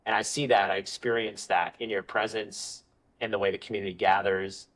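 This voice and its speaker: A man's voice: fairly low pitch, level tone, brisk, fairly bright tone, poor audio. A man hosting a podcast interview, complimenting his guest and steering the conversation back to the topic.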